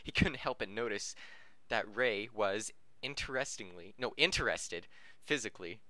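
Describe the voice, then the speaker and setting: A man's voice: fairly low pitch, normal speed, pushed and bright, dry recording. A man reading fan fiction aloud on a podcast, stumbling over words and correcting himself, often amused and breaking into laughter. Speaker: podcast reader.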